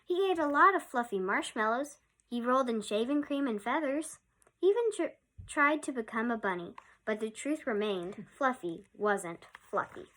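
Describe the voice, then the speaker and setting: High-pitched woman reading a picture book. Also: expressive, moderate pace, a bit bright. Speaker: children's storyteller. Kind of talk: picture-book read-aloud for young children.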